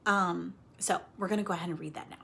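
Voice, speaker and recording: A woman with a slightly husky voice leading a read-aloud lesson for children, explaining things simply in an expressive, coaxing way and speaking to them directly. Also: on the low side, fast talker, a little hiss or hum. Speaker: teacher reading aloud to children.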